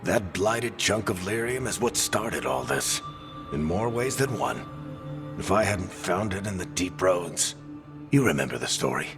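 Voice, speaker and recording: A man playing a world-weary, gruff middle-aged adventurer and storyteller in a cinematic fantasy game, informal yet dramatic. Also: deep voice, big pitch swings, unhurried. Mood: troubled. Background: music.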